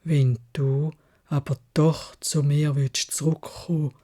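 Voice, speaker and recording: A woman voicing a gentle, heartbroken male beast in a Swiss German fairy tale, speaking in a halting, heavy-hearted way. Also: sits low, little pitch movement, voice pushed down. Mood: resigned, sad.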